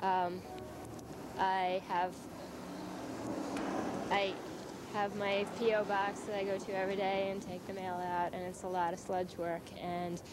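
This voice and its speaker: A young woman who sings lead in a band, speaking in a documentary interview outdoors on a city street at night, matter-of-fact and steady. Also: medium pitch, a little slow, long pauses. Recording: hissy 1990s VHS tape.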